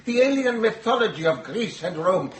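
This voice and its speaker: In an echoey, noisy room, a nervous professor in a ghost story drama. His high voice halts and repeats itself. He is flustered and apologetic. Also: sing-song. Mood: formal lecturing. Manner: halting, apologetic, repeats himself. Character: nervous, flustered Norwegian male professor of Norse mythology.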